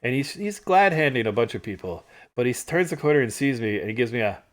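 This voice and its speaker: A man co-hosting a livestream, chatting casually through stories and photos from a trip, animated when he retells moments. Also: mid-range voice, fast talker. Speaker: livestream co-host. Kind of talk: casual storytelling chat over travel photos.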